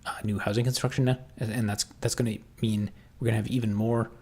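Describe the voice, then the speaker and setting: A man with a Canadian accent chatting casually on a livestream, answering viewers and thinking aloud in halting, restarting sentences. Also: lowish voice, flat delivery, a little mellow, slightly rough.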